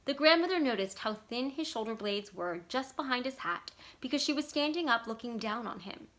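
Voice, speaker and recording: A woman's voice: fairly high pitch, animated, moderate pace, fairly bright tone. A woman reading a short story aloud as scripted literary narration. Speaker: library staff member reading a story aloud.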